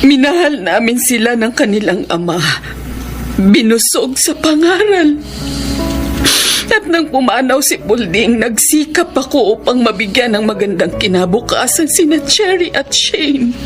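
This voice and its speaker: Radio drama role: wounded Filipino widow and teacher, emotional, wide-ranging voice, dramatic music behind. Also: female voice, on the high side, lively intonation, measured pace. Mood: hurt, sorrowful. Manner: tearful, emotional pleading. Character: anguished widowed mother, a schoolteacher, wounded and pleading. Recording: dramatic music behind, some background noise.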